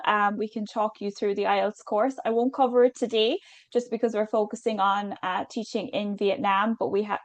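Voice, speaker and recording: A woman's voice: mid-range voice, no echo. Chatty Irish woman hosting a webinar. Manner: chatty, filler-heavy, reassuring. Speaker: webinar host. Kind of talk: webinar Q&A, reading out questions.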